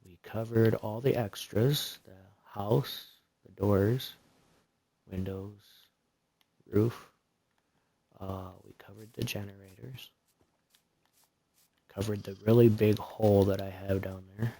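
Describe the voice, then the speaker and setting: A soft-spoken man with a fairly low voice talks slowly and loosely through a gaming livestream. He rambles along, filling the gaps with ums and uhs. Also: fairly even intonation, vocal fry, rough recording. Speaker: streamer. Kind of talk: casual game commentary.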